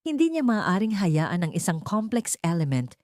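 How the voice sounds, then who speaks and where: A woman's voice: on the low side, big pitch swings, moderate pace, darkish tone, no echo, clean studio sound. A woman reading a scripted dramatic story as its narrator.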